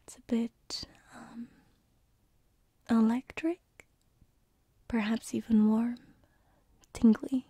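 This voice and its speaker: A woman playing a gentle, teasing sleep paralysis demon in a whispered ASMR roleplay, close on a binaural microphone, with a breathy, hesitant delivery. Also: on the high side, level tone, no echo. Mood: sympathetic, soothing. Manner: slow, soothing, hesitant, teasing.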